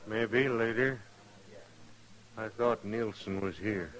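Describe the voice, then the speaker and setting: A man's voice: on the low side, takes it slowly, fairly bright tone, poor audio. An actor playing a grieving, stubborn cowboy in a radio western, brooding and folksy in his talk. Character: grieving stubborn cowboy muleteer, man bent on vengeance. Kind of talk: old-time radio western acting.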